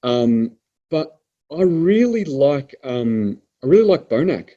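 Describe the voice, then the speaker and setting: A man's voice: mid-range voice, big pitch swings, takes it slowly, mellow, muffled recording. A man in his mid-thirties from Melbourne with an Australian accent, hosting a podcast and reading out listener questions in easygoing chat. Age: 30s. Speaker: prep coach podcast host reading questions.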